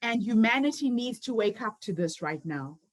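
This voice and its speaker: High-voiced woman in her fifties. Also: animated, normal speed. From Zimbabwe. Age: 50s. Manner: earnest personal storytelling. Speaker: summit founder and speaker. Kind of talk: motivational speech.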